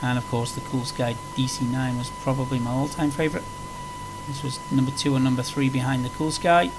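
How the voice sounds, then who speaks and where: A man's voice: mid-range voice, level tone, fairly quick. A man giving casual, unscripted flight simulator commentary, rambling along in an easygoing way as he plays and explains. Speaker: flight simulator video presenter. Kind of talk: casual tutorial commentary while playing. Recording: clearly noisy with background hum.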